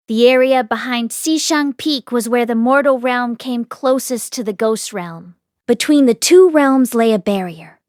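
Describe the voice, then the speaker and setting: High-voiced woman narrating a story. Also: no echo, clean studio sound. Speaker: audiobook reader and read-along host. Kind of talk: expressive scripted story narration, reading a fantasy novel aloud.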